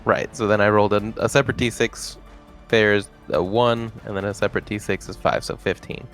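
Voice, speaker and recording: A man's voice: on the low side, fairly even intonation, fairly quick, fairly bright tone, soft background music. A man chatting casually as a player in a tabletop roleplaying game.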